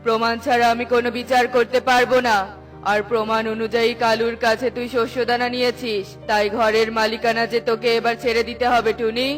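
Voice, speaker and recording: Cartoon eagle elder, played by a woman: high-pitched yet grave, commanding, judicial, light music underneath. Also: level tone, a little fast, lightly acted. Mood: stern. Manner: grave, authoritative. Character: stern eagle village chief, male, solemn judge. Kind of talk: children's cartoon voice acting.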